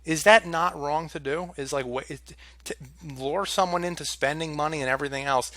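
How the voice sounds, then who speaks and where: A young man vents to the camera about something that went wrong, sounding exasperated and swearing freely. His voice is bright and pushes forward. The sound is dry, with no room echo. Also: on the high side, a little fast. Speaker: vlogger. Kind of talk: casual storytelling rant to camera.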